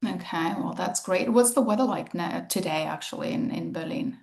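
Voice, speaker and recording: A woman's voice: lowish voice, moderate pace, creaky, a little mellow, roomy sound. A woman English teacher leading an online speaking club, chatty and full of fillers, on a video call. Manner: chatty, many fillers like "you know" and "okay". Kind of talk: casual online group lesson. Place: home in Valencia.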